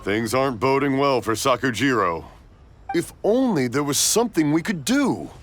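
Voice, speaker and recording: Man acting an expressive worried old father. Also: medium pitch, normal speed, a little hiss or hum, close to an everyday voice. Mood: concerned. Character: kindly worried elderly father, hard of hearing. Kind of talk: English video game dub acting.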